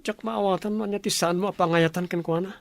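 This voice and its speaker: A man with a Filipino accent playing a sensible, reluctant young bachelor in a village comedy radio drama, bantering in a casual, measured way. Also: high voice, fairly even intonation, vocal fry, dry recording. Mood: casual, teasing.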